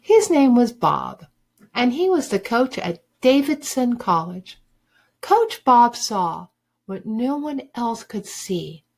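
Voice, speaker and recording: A woman reading a children's book aloud like a teacher, with deliberate, emphatic phrasing for young listeners. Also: a little high, very animated, gravelly. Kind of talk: expressive storybook read-aloud.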